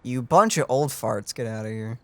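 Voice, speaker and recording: A man's voice: mid-range voice, moderate pace, a bit bright, dry recording. Young guy teasing along with a game, dry close mic. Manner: teasing, wry. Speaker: gameplay commentator. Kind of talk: casual gameplay banter.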